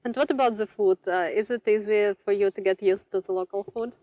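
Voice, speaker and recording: A woman's voice: medium pitch, steady tone, a little fast, warm tone, no room sound. A woman interviewing a guest and hosting her channel, asking questions in plain, simple phrasing.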